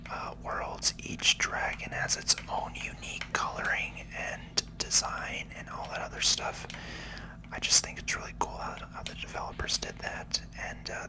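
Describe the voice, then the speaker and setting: Casting: ASMR game host, fully whispered delivery, casual explanatory commentary on gameplay. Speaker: host, gaming video creator.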